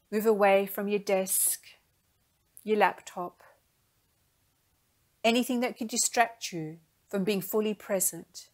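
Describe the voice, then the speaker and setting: A woman leading a guided meditation in a gentle, soothing, unhurried manner, speaking in soft phrases. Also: mid-range voice.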